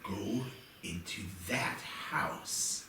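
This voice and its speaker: A sinister voice gives menacing commands in a horror drama. It is deep and extremely slow, swimming in heavy echo with noise around it. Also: male voice. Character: sinister commanding inner voice urging a killer. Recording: very echoey, loud noisy background.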